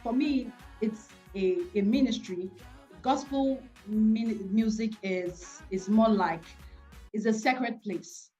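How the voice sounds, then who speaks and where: A woman's voice: fairly high pitch, takes it slowly, dark tone, lo-fi sound, faint music behind. Nigerian woman in an earnest interview. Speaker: interview guest, gospel singer. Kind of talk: personal interview talk.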